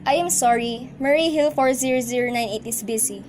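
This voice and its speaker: A woman's voice: on the high side, fairly quick, natural voice. A woman acting in an amateur radio drama as a formal, courteous, unflappable telephone operator with formulaic phrasing. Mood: polite, puzzled. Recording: faintly noisy amateur recording.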